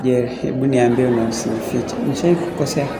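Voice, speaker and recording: A man acting a troubled student opening up hesitantly to a friend in a radio drama. His mid-pitched voice echoes, with clear crowd noise behind it. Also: steady tone, brisk, lightly acted. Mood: troubled, confiding. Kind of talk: radio drama dialogue, code-switching casually. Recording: echoey, noisy public space with crowd.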